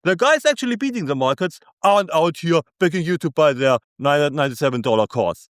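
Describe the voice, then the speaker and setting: A man with a thick German accent ranting through scripted commentary, scornful and sarcastic. His voice sits fairly high and swings widely in pitch for emphasis. The sound is close and dry. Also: animated, a little fast, no echo.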